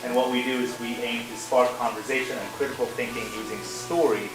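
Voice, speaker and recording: A man hosting a public event, greeting the audience casually with a few fillers and restarts. His mid-pitched voice is caught from a distance in a large, very echoey hall, with music clearly heard around him. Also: steady tone, fairly quick. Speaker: program manager hosting the event. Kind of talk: welcoming introduction.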